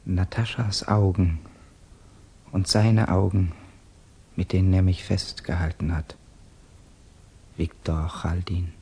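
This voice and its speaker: An actor playing a guilt-tormented, brooding young Russian man with a gravelly, husky voice in a 1960s German radio drama. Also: sits low, level tone, mellow, heavily acted. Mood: haunted, intense. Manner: brooding, halting, intense.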